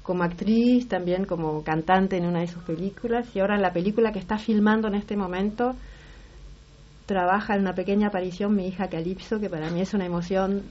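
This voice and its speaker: A woman's voice: mid-range voice, steady tone, brisk, a little mellow, slight background noise. A middle-aged woman from Uruguay with a Rioplatense accent, an actress and singer, answering interview questions thoughtfully and often restarting her sentences. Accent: Rioplatense Spanish. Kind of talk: casual interview talk. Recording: slightly muffled broadcast audio.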